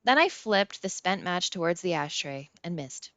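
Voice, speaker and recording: A woman's voice: fairly low pitch, lively intonation, moderate pace, a bit bright, dry recording. A woman reading scripted first-person fiction as an audiobook narrator. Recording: slightly dull, dry close recording.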